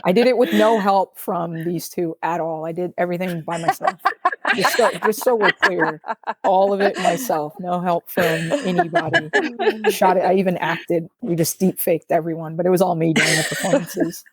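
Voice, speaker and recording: A woman's voice: lowish voice, moderate pace, husky. A woman chatting casually as a podcast guest, with an informal, joking and playfully sarcastic manner. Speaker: film director, podcast guest. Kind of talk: casual chat.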